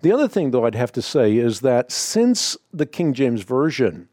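A man's voice: medium pitch, sing-song, warm tone, no room sound, clean studio sound. Man hosting call-in radio. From Netherlands. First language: Dutch. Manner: explanatory, measured, frequent uh fillers. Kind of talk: call-in radio Bible answers.

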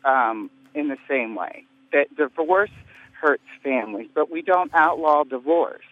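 Caller guy on a tinny phone line, kinda high voice, stumbling and restarting a lot. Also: expressive, no room sound. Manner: hesitant, halting, restarts often. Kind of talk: call-in radio debate.

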